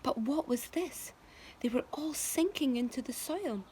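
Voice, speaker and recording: A woman's voice: sits high, animated, a little slow, dark tone, a little hiss or hum. A middle-aged woman teacher reading a children's book aloud expressively, asking her listeners questions. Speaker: primary school teacher.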